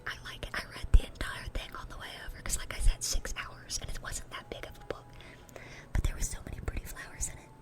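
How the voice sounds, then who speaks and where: A woman playing a dorky, sweet-natured young demon girl in an ASMR roleplay, whispering in a rambling, chatty, earnest way. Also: close to an everyday voice. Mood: sweet, excited. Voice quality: breathy, unvoiced whisper.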